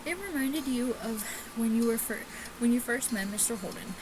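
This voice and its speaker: A woman with a fairly high voice hosts a casual let's play. She reads on-screen story text haltingly, tripping over words and restarting. The home recording has clear background noise. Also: expressive. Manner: halting, stumbles while reading aloud. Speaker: gaming video host.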